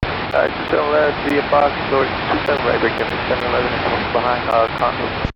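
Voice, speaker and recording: A man speaking as an airline pilot, reading back taxi clearances in clipped phrases over aviation radio. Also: medium pitch, steady tone, fast. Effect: aviation radio transmission. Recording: muffled radio with loud static hiss.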